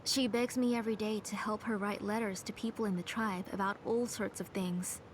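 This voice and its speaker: An actress playing a gentle, caring mother in a video game. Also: mid-range voice, steady tone, a little fast, a little hiss or hum, close to an everyday voice. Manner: warm, motherly. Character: gentle caring mother, former name engraver.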